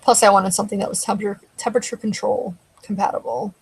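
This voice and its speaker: A woman's voice: mid-range voice, fairly even intonation, quick pace, creaky, darkish tone, a little hiss or hum, rough recording. A woman hosting a casual live stream, chatting loosely and answering viewers, her throat getting dry. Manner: rambling, conversational, answers chat comments. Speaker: live show host.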